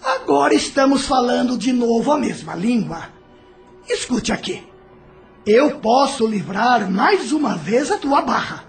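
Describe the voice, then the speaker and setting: Espírito maligno agudo e debochado. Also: male voice, extremely high pitch, very animated, a little slow, vocal fry, soft background music, exaggerated voice. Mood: bossy scheming. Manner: mocking, manipulative, theatrical. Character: domineering, scheming male evil spirit, sly and vengeful. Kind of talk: audio drama acting.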